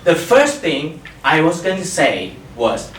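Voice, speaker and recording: Guy doing a stage story, slow and lively, wry jokes, far off in an echoey hall. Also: fairly high pitch, slight background noise. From Thailand. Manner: wry, self-mocking humor.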